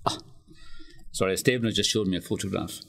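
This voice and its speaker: A man's voice: low-pitched, quick pace, vocal fry, fairly bright tone. A Scottish man in his sixties from the west of Scotland, talking thoughtfully and telling anecdotes as a guest in a reflective radio conversation. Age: 60s. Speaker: interview guest, writer.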